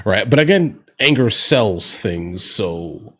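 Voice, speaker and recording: A man's voice: on the low side, lively intonation, slow, fairly bright tone, slightly rough. A man giving casual political commentary as a reaction host, conversational and opinionated, with slangy asides to his viewers.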